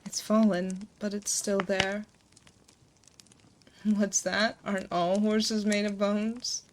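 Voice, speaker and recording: A woman playing an ancient succubus demon queen, motherly and gently teasing, in a hushed, soothing, intimate ASMR roleplay, close to a binaural mic. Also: medium pitch, level tone, takes it slowly, close to an everyday voice. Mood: reflective, regretful. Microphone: close binaural 3Dio microphone. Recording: close binaural mic, noticeable background noise.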